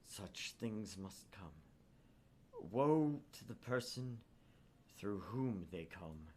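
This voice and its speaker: A man voicing a dying fanatic gunman who chants scripture slowly and solemnly, as in a visual novel. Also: fairly low pitch, lively intonation, full character voice. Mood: solemn, ominous. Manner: slow, solemn preaching.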